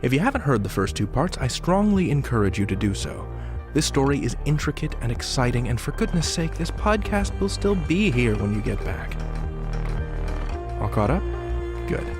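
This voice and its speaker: A man's voice: mid-range voice, very animated, moderate pace. A man hosting an audio drama podcast with theatrical scripted narration, speaking directly to the listener. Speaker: podcast host. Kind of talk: scripted narration, story recap. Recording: music bed behind the voice. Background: music.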